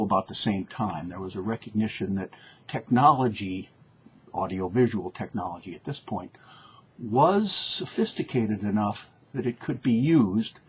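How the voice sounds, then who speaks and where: A man with a fairly low voice explains like a lecturer, informal and instructive. He rephrases points for emphasis. There is faint noise behind him. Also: rough recording.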